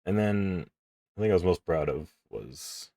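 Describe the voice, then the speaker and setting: A young man with a deep voice, casually rambling and swearing as he talks through his drawing on a stream. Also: lively intonation, unhurried, gravelly, mellow, dry recording, studio quality. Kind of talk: casual commentary while drawing.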